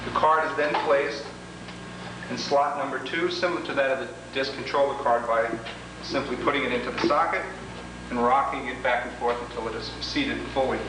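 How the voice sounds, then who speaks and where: A man giving an instructional product demo, explaining each step in a deliberate, methodical way. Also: medium pitch, fairly even intonation. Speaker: product demonstrator. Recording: roomy, echoing, noisy 1980s demo tape, distant mic.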